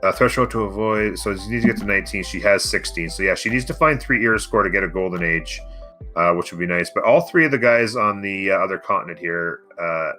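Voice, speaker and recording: A man's voice: sits low, brisk, pushed and bright, faint music behind. A man co-casting a strategy game in a casual style, thinking aloud as he explains. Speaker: co-caster of a strategy game match. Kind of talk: casual live game commentary.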